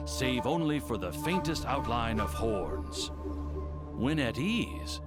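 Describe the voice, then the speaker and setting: An actor playing a wise, genial storyteller who recounts old legends in a measured, theatrical way for an animated game trailer. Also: male voice, medium pitch. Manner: measured, expressive, savoring each phrase. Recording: background music under the voice.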